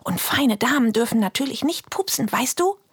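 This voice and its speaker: A woman voicing a lively little kindergarten girl who talks in a bright, eager way. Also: fairly high pitch, moderate pace, breathy edge, no echo, clean studio sound, voice pushed up, exaggerated voice. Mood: explaining.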